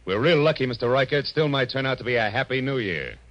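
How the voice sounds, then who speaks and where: An American actor playing a world-weary hard-boiled private detective, dry and wisecracking, in a 1940s radio drama. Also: male voice, medium pitch, expressive, fast, fairly bright tone, lightly acted. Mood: brisk, dry. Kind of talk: 1940s radio drama acting, noir first-person narration. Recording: muffled old radio recording with music.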